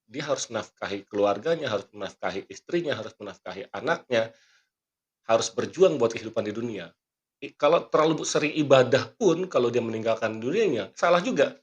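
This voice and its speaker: A man hosting a motivational podcast, teaching earnestly and quoting scripture and sayings, often clearing his throat while he talks because he is not fully well. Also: medium pitch, expressive, a little fast, muffled recording. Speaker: magician and motivational speaker. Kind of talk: motivational podcast talk with a magic trick demo.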